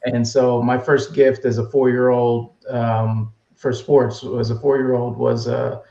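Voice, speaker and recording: A man with a gravelly, creaky voice talks through his story in a relaxed, conversational way. His voice has a dull, lo-fi sound, with a roomy echo around it. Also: medium pitch, measured pace. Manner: easygoing, storytelling. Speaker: guest. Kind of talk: casual interview answers. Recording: echoey room, dull lo-fi sound.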